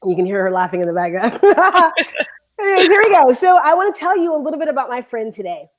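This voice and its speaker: A woman hosting a live online talk show, bubbly and rambling, talking in quick bursts. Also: high-pitched, big pitch swings. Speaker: show host.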